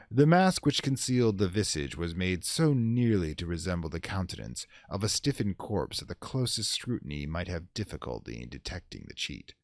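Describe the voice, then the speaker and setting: Low voiced guy reading gothic horror, very theatrical and solemn, lots of pitch swing, dramatic pauses. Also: dry recording, studio quality. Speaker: narrator.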